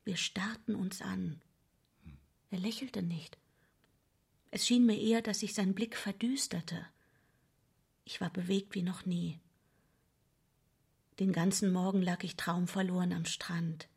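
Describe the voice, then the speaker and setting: A wife in a radio drama, baring a secret longing. Her voice is breathy and sits at a middle pitch. She speaks in a hushed, deliberate and intimate way, troubled underneath. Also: fairly even intonation, full character voice. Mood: hushed, wistful. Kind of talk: radio play acting.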